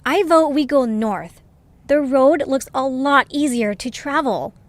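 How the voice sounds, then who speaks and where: Lady doing a bossy mean creature girl, really high voice, sarcastic, curt, audio drama. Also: unhurried, no room sound. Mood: brisk, bossy. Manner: sarcastic, brusque. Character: bossy, scornful female Zoombini creature. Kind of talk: amateur audio drama acting.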